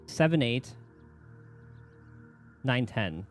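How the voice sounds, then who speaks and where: A man with a mid-pitched voice talks casually to viewers on a gaming stream. His delivery is lively and swings up and down as he rambles and thinks out loud. Faint music plays behind him. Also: extremely slow. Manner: rambling, thinking aloud, mild swearing. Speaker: game streamer. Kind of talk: casual livestream chat while gaming.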